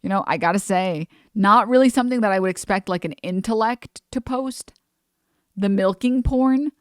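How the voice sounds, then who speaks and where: A woman's voice: medium pitch, normal speed, no echo, studio quality. Chatty, sarcastic young woman streamer. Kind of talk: casual reaction stream commentary.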